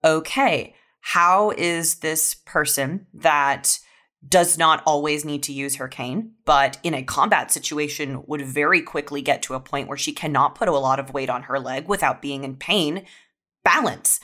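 Low, bright-voiced woman explaining her craft. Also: measured pace. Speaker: podcast guest, audio fiction writer. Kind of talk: casual explanatory discussion.

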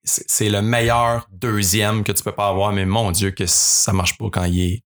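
A man's voice: on the low side, flat delivery, normal speed. A young man with a Québécois accent, a radio writer chatting as a podcast guest, rambling with many fillers and restarts. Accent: Québécois French. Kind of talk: casual podcast chat.

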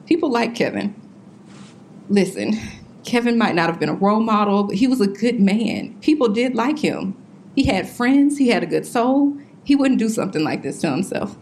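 A woman's voice: medium pitch, expressive, moderate pace, a little mellow, a little hiss or hum, natural voice. An actress in an audio drama playing a grieving, defiant young woman who talks in a blunt, colloquial way while questioned by police. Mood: defiant, upset. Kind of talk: full-cast audio drama acting.

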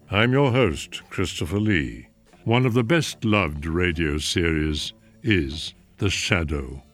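Male show host, low and measured, scripted introductions over faint music. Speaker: host of classic radio show. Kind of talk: scripted presenting.

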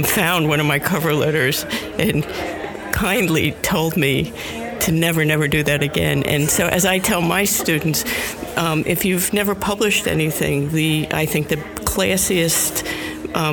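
Deliberate storyteller woman over music. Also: low voice. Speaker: guest writer and teacher. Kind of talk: anecdotal advice.

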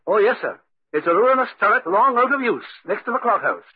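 A man's voice: high-pitched, sing-song, brisk, breathy edge, dry recording, exaggerated voice. A man playing an old, deferential, knowledgeable castle servant reminiscing in a 1940s radio drama. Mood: obliging, reminiscing. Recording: tinny, thin old 1940s radio recording.

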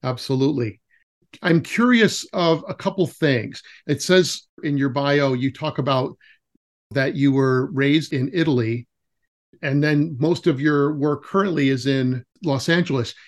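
A man hosts a podcast and opens the interview with chatty, friendly questions. He speeds up in quick bursts and fills gaps with small hesitations. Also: mid-range voice. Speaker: podcast host.

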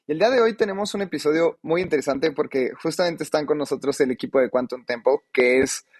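A man's voice: fairly high pitch, quick pace. Male podcast host introducing an episode.

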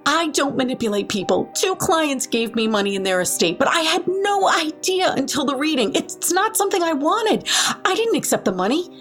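A woman's voice: high voice, big pitch swings, normal speed, a bit bright, faint music behind. A woman playing a defensive, rattled professional caregiver in an audio drama, earnest and emphatic. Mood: defensive, indignant. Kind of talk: naturalistic audio drama acting.

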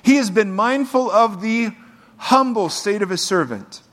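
A man preaching to a congregation, his voice sitting a little high for a man. He reads scripture aloud and breaks off into loose, joking asides to the people in front of him. The delivery is relaxed and conversational. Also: unhurried. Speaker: pastor. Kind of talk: sermon. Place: church with live congregation.

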